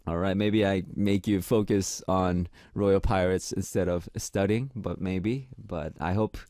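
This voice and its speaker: A young man from Korea hosts a radio show in English, his second language, in a relaxed and chatty way. His speaking voice is deep and low. The sound is dry and close, with no room echo. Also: unhurried. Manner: relaxed, chatty, reads listener messages aloud. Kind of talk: casual radio show hosting.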